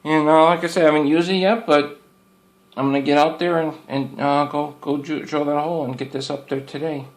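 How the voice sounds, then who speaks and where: A man's voice: fairly high pitch, steady tone, brisk. A man giving a casual, unscripted product review in an informal, folksy, rambling way, often repeating his words.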